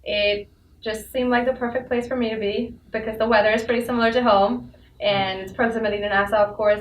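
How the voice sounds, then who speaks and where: Lively young woman, echoey room. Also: a little high. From India. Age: young adult. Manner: lively, giggly storytelling. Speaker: student. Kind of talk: casual panel chat. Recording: echoey room, mic far off, faint noise.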